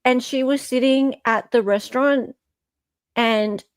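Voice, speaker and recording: Female host, Australian accent, highish voice, unhurried, blunt and incredulous casual commentator. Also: fairly even intonation, smooth, no echo.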